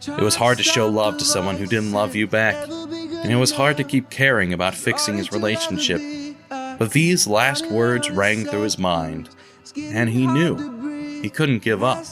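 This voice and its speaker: Measured man narrating a radio drama. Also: fairly low pitch, animated, over loud music. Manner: measured, somber storytelling. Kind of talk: scripted narration.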